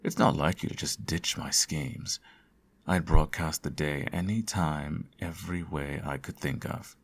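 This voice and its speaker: A man playing a sardonic, theatrical supervillain who fusses over his nemesis in an ASMR audio roleplay, his voice slightly husky. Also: extremely low pitch, measured pace, full character voice. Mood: smug, teasing. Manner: theatrical, teasing, sarcastic.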